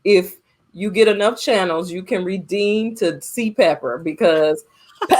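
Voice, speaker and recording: A woman's voice: fairly low pitch, a little slow, a little mellow. A woman co-hosting a podcast in casual chat, speaking emphatically and repeating phrases for effect. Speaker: podcast co-host.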